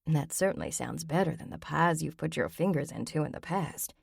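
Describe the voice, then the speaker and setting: A woman voicing a gentle, agreeable middle-aged mother in an audiobook, speaking softly. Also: sits low, lively intonation, a little fast, vocal fry, breathy edge, no room sound, clean studio sound, exaggerated voice.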